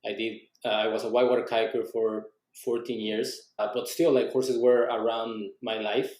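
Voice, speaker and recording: A man's voice: on the low side, level tone, normal speed, a little mellow, roomy sound, poor audio. A young adult man from Mexico with a Mexican accent, a native Spanish speaker, chatting loosely as a podcast guest with many restarts. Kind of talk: casual interview conversation.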